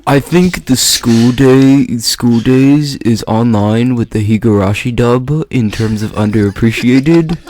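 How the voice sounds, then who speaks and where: A man's voice: mid-range voice, a little slow, few pauses, darkish tone. A young man in casual, joking conversation as a panelist on a group podcast.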